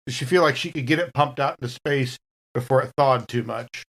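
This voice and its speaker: A woman's voice: rumbling low, a little fast. Wry woman playing a tabletop game. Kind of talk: casual game talk, describing her character's actions.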